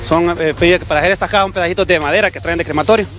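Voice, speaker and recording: A man with a Honduran Spanish accent, a neighbor interviewed at the scene, talking rapidly and pleading for help. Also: high voice, fairly bright tone. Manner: rapid, pleading, addresses the reporter as brother.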